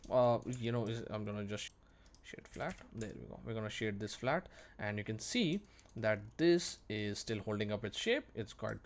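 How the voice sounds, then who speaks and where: Guy doing an off the cuff tutorial, mid-pitched voice, chatty teacher explaining stuff and repeating words to stress them. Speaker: 3D modelling instructor, video host. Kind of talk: unscripted software tutorial narration.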